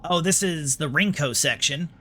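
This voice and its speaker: Bright-voiced, sweary American gamer guy. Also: fairly high pitch, normal speed, slight background noise. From New York, United States. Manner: sweary, sarcastic. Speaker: game streamer. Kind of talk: casual gameplay commentary.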